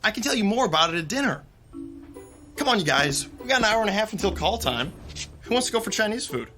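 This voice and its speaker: An easygoing young theater techie in a radio drama. His voice is high, bright and lively in its pitch. Faint music sits under him. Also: fast, heavily acted. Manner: easygoing, explanatory. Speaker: stagehand.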